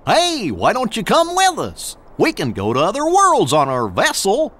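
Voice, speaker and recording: A dopey cartoon dog. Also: male voice, high voice, wildly swooping pitch, normal speed, fairly bright tone, heavily acted. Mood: friendly, dopey. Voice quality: dopey, drawling. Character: goofy, friendly cartoon dog knight.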